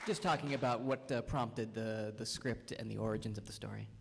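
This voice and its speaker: A man moderating a film festival Q&A in a relaxed way with many fillers, speaking on a theater stage. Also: on the low side, a little fast, creaky, a loud crowd, very noisy. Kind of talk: hosting a live festival Q&A. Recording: reverberant hall sound.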